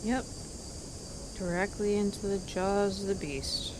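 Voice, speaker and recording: A woman's voice: mid-range voice, steady tone, measured pace, close to an everyday voice. Woman playing a wry, resigned woman, noisy background. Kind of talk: improvised tabletop roleplay dialogue.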